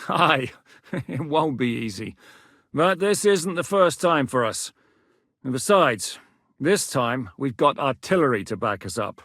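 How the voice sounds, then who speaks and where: A gruff, battle-hardened rebel commander for a medieval game, speaking. He is blunt and commanding, his voice sitting a little high for a man. The studio recording is dry and close. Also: a bit bright, dry recording. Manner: blunt, commanding, plain-spoken. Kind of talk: game voice lines.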